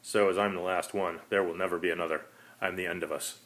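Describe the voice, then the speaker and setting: A man's voice: deep voice, steady tone, fast talker. A man voicing a lonely, despairing last vampire, a polite predator, in a dramatic story reading. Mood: weary, bitter. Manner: pleading, rising into manic shouting.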